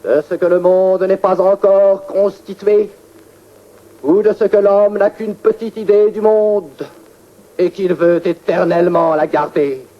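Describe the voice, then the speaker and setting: A performer playing an intense poetic declaimer in a 1940s French radio work, theatrical, deliberate and incantatory. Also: mid-range voice, flat delivery, close to an everyday voice. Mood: scornful, declamatory. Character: intense poetic declaimer railing at mankind's small idea of the world. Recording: dull 1940s archive radio recording.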